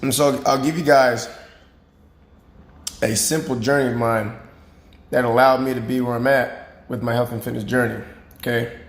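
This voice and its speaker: A man with a raspy, hoarse voice, a fitness coach talking casually and earnestly to his viewers, often checking in with "right?" and "okay?". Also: mid-range voice, fairly even intonation, normal speed, pauses often. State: hoarse after boot camp. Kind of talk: casual talk to camera. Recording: roomy recording.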